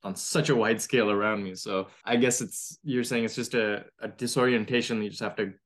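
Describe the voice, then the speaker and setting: Male podcast host, somewhat low voice, halting and careful when posing questions. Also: fairly even intonation. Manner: hesitant, many uh fillers and repeats. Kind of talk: interview questions.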